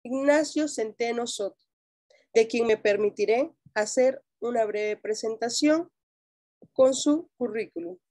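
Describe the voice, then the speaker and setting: A woman's voice: fairly high pitch, measured pace, warm tone, no room sound, studio quality. A woman with a Mexican Spanish accent formally and courteously introducing a guest speaker as the host of an academic event.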